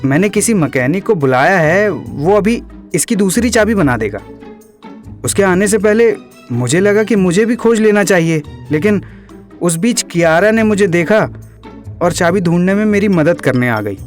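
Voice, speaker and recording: A man's voice: sits high, animated, moderate pace, faint music behind. A man playing a polite, earnest young man in an audio drama.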